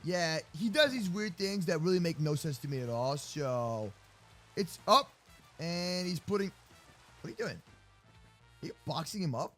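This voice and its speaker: A man with a bright, high-set voice commentates an improvised game roleplay. His delivery swings widely in pitch and is full of casual slang. Music plays behind him. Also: moderate pace, long pauses. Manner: animated, slangy, reacting aloud. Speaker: gaming video host.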